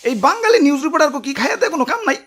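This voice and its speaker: A woman voicing a vain, excitable lizard boss in a comic cartoon, theatrical and exclamatory, with a Bangladeshi accent. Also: sits high, rapid-fire, a little hiss or hum. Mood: exasperated. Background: background music.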